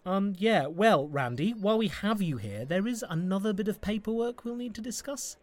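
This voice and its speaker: A man's voice: sits high, expressive, moderate pace. A man playing an awkward, officious office manager in a comedic audio drama. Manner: hesitant, bureaucratic.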